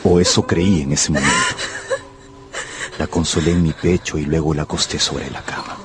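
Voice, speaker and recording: An actor playing an earnest, melancholy tutor who tells his own story in a measured, intimate way in a radio drama. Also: extremely low pitch, steady tone. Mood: wistful. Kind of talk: first-person radio drama narration. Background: music behind the voice.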